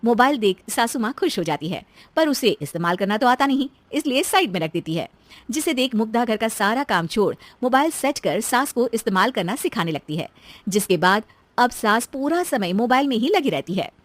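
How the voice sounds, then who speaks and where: A woman narrates a scripted family moral tale. Her voice sits high and moves in animated swings of pitch. The recording is close and dry. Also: moderate pace, no echo. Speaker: story narrator.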